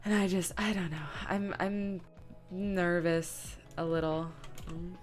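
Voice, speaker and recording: Low-voiced streamer girl rambling casually while she works, music in the back. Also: steady tone, slow pace. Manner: rambling, thinking aloud. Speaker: live streamer; comic dub director. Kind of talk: casual livestream chat while working.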